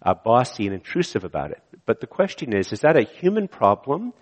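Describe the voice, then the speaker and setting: Hoarse pastor in a reverberant church. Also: male voice, mid-range voice, sing-song, fairly quick. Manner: conversational, with many fillers and restarts. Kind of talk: sermon. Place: church sanctuary. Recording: church hall with long reverb.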